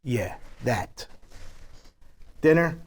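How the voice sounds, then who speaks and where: A man reading an authoritative mob leader who keeps order, deliberate and commanding, in a script table read. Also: medium pitch, expressive. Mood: businesslike, firm.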